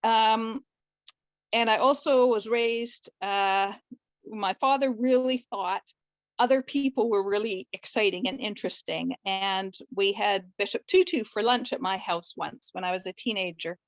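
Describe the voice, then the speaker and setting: A woman's voice: medium pitch, unhurried, no room sound. Canadian woman, muffled call audio. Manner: chatty, rambling, friendly. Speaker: family doctor. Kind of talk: participant comment in online session. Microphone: video call.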